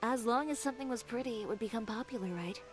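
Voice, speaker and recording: A woman's voice: on the high side, fast talker, faint music behind, close to an everyday voice. An actress playing an assertive, poised young female fashion designer in a video game, telling things in a self-assured way. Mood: reflective.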